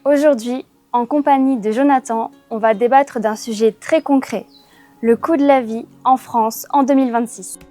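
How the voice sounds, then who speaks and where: A woman hosting a conversational discussion for language learners, speaking in a clear, measured way. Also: fairly high pitch, steady tone, warm tone, soft background music. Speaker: host.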